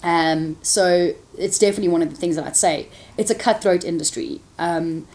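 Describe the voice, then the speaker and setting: A woman's voice: on the low side, normal speed, a little mellow. A woman, a TV presenter answering interview questions frankly, with laughing asides. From South Africa.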